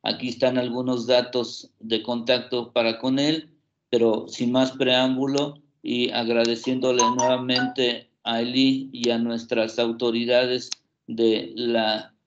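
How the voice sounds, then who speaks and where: A man's voice: medium pitch, little pitch movement, slow pace, muffled recording. A man moderating a university event, formally introducing a speaker and handing over the microphone, with frequent fillers.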